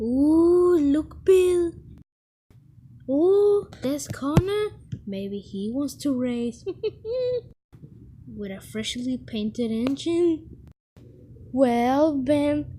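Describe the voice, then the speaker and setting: A woman voicing a mischievous young twin tank engine, a cheeky, playful boy in an animated children's series. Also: high-pitched, slight background noise, full character voice.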